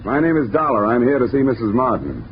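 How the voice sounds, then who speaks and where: A man's voice: medium pitch, big pitch swings, quick pace, background noise. A man acting a young wisecracking insurance investigator who narrates a radio drama with dry, wry humor. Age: young adult. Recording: dull old radio broadcast with music.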